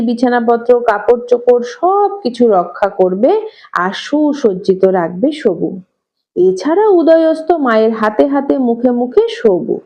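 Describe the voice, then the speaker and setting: Unhurried woman storyteller, fairly high voice. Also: dark tone, highs cut off. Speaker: audiobook narrator. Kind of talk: expressive literary story reading.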